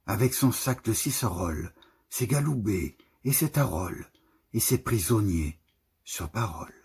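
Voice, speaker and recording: A man reads verse at a slow, measured pace. His voice is very husky and breathy, with a gravelly creak underneath. He sets each short phrase apart deliberately. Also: low-pitched. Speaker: poetry reader. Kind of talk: poetry recitation.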